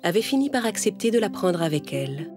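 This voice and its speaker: A woman's voice: lowish voice, very animated, quick pace, music in the background. A woman storyteller reading a scripted children's tale as narration.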